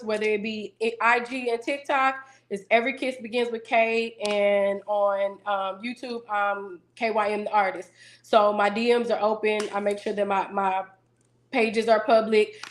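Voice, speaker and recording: Woman guest, deeper-voiced, steady and businesslike in casual interview talk. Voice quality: deeper singing voice. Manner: self-assured, businesslike, steady. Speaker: singer-songwriter guest.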